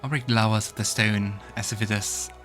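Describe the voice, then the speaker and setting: A man's voice: fairly low pitch, steady tone, a little fast, fairly bright tone. A man hosting a let's play, casually commenting, musing aloud and reading game text. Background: faint game music.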